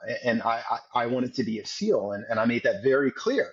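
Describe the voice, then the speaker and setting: Husky mid-pitch man, 40s, earnest storytelling guest, dull lo-fi sound. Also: lively intonation, a little fast. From United States. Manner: earnest, repeats words while telling a story. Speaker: podcast guest, former Navy SEAL. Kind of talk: storytelling interview.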